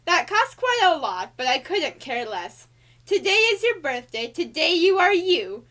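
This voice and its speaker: Woman voicing a very high, theatrical cartoon angel lady. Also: very animated, unhurried, a bit bright, full character voice. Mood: bright, excited. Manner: theatrical, exaggerated emphasis, showy. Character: Alice Angel, female cartoon angel reading a bouncy birthday storybook. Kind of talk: sing-song rhyming storybook reading for children.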